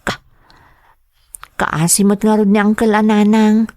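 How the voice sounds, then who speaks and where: A woman's voice: mid-range voice, monotone, a little slow, darkish tone, no room sound, studio quality, lightly acted. A woman acting as a dutiful, earnest young daughter in an Ilocano radio drama, speaking with a Filipino accent. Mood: concerned, sympathetic. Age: young adult.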